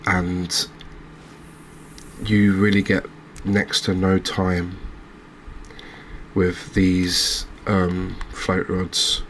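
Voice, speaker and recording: A man giving gentle, unhurried ASMR commentary over a cozy fishing game, with hesitant, understated phrasing. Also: sits low, completely flat delivery, slight background noise. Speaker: host, video game streamer.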